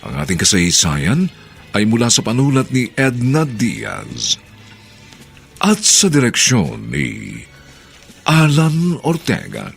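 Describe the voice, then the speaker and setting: Filipino guy radio drama announcer, gravelly, very swoopy delivery, formal, music faintly behind. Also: medium pitch, wildly swooping pitch, slow, long pauses. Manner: theatrical, formal. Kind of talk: dramatic scripted radio sign-off.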